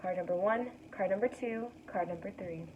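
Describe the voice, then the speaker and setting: A young woman hosts a calm tarot reading, her voice raspy from an early start. She sounds thin and tinny, like a phone line, and slightly far from the mic. A faint hiss sits under her gentle, guiding delivery. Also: mid-range voice, normal speed. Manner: relaxed, soothing, guides breathing. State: raspy morning voice. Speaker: tarot card reader. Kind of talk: casual spiritual reading to camera.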